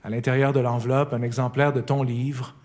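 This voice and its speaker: Calm Quebec French man reading a letter. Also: mid-range voice, fairly quick. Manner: calm, reflective, intimate. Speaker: letter writer. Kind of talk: reading a personal letter aloud. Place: recording studio. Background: faint music.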